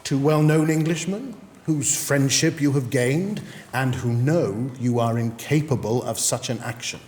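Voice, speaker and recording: An actor playing a courteous, formal English magistrate handing down judgement in measured period-drama speech. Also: male voice, medium pitch, a little mellow, lightly acted. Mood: courteous, businesslike. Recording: long hall reverb, background noise.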